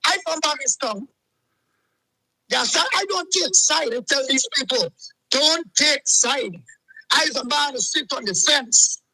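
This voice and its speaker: A man's voice: extremely high pitch, animated, measured pace, creaky, bright, forceful tone, dry recording, poor audio. A man, calling in to a show and ranting heatedly, repeating himself. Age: 40s. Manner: agitated, repetitive, keeps saying "let me tell you something". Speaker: caller. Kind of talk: heated call-in rant.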